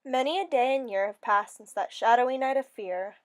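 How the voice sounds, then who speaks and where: High-voiced woman dramatically telling a story. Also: brisk. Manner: theatrical, expressive first-person narration. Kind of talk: dramatic reading of a fairy story.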